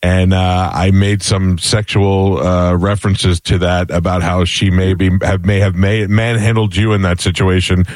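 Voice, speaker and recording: Low-voiced, rambling male radio host. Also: little pitch movement, moderate pace, few pauses, darkish tone, dry recording. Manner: rambling, self-deprecating, stumbling over words. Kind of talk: morning radio interview.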